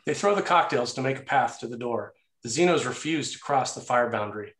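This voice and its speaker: A man's voice: medium pitch, expressive, a little fast, a bit bright. A man narrating action and scene headings from a screenplay in a scripted read. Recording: roomy, echoey sound.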